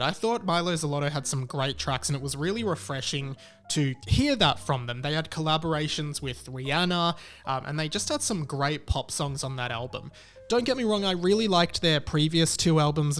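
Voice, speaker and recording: Higher-voiced man reviewing music casually. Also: fairly bright tone. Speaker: music reviewer and show host. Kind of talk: casual album review commentary. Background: music under the voice.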